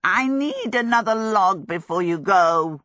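A woman's voice: medium pitch, animated, measured pace, fairly bright tone, no echo. Here is a small fire demon from a storybook, male, proud and a little needy as a woman narrator plays him. The timbre is set apart from plain narration and the words are pressed out with emphasis. The sound is close and dry. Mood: urgent.

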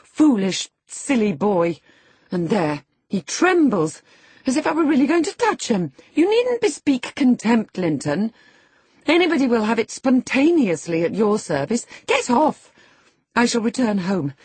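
A woman's voice: medium pitch, sing-song, a little slow, dark tone, slightly rough, no echo, pitched above the performer's own voice, exaggerated voice. A woman voicing a spirited, impatient young lady in an audiobook, proud, emphatic and demanding. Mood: scornful passion. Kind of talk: audiobook character acting.

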